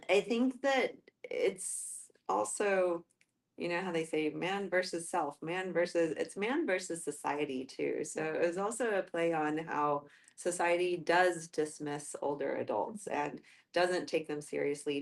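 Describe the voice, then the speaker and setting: A woman explaining her own writing in a group discussion, thoughtful and conversational. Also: sits low. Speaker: writer discussing own work.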